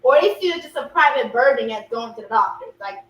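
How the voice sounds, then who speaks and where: A young woman co-hosting a casual podcast chat, expressive and quick to laugh. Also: fairly high pitch. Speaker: podcast co-host. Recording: roomy echo, far from mic, faint hum.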